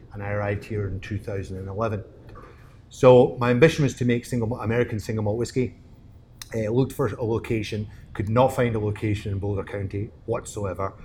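A man's voice: on the low side, a little mellow, slight background noise. A middle-aged man with a Scottish accent, telling his story conversationally as an interview guest. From Scotland. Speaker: distillery owner, interview guest.

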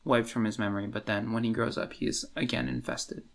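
A man co-hosting a podcast, talking casually and haltingly in a book discussion. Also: on the low side, very animated. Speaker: podcast co-host.

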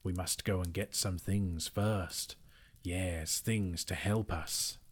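A man's voice: sits low, steady tone, breathy edge, exaggerated voice. A man doing Gollum, a hissing slimy creature. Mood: sly. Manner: hissing, whispery, drawn-out words. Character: slimy hissing cave creature, sly and sulky.

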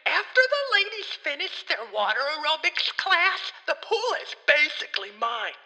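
An actor playing an excitable, anxious and bewildered young boy in a scripted comedy podcast, with dramatic, exasperated delivery. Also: high voice, normal speed, big-room sound, exaggerated voice. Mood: eager.

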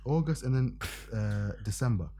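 A man in casual podcast banter, emphatic and animated, often tagging lines with "yeah?". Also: mid-range voice, normal speed, crowd noise. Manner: animated, emphatic, tag questions. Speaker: podcast co-host.

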